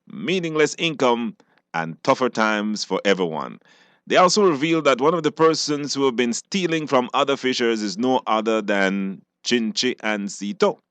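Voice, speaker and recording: Belizean guy radio host, lowish voice, informal recap reading, dry studio sound. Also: a bit bright, no room sound. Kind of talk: informal presenting with scripted recap.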